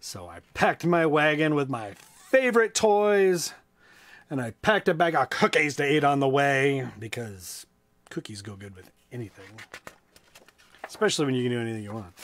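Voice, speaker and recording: An American man hosting a children's storytime, reading playfully with chatty, casual asides. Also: medium pitch. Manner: playful, animated, dramatic drawn-out reading. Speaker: storytime reader and host.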